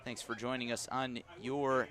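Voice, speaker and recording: A man's voice: mid-range voice, little pitch movement, a little slow, fairly bright tone. A man with an American accent calling a baseball game live on radio from an outdoor ballpark, mixing lineup announcements with casual banter.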